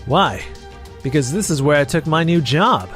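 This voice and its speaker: A man's voice: on the high side, animated, moderate pace, music in the background, lightly acted. A man voicing a capable, blunt elder brother in a manga drama dub, assured and admonishing. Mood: matter-of-fact. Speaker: voice actor and narrator in manga dub.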